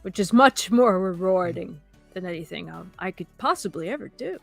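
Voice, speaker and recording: A woman's voice: lowish voice, animated, normal speed, creaky, faint music behind. A woman guesting on a live reaction stream, blunt and sarcastic, swearing freely. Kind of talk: casual reaction chat.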